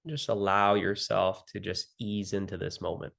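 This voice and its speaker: A man leading a guided meditation as the guide, speaking gently and soothingly with long pauses between phrases. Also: deep voice, level tone, gravelly.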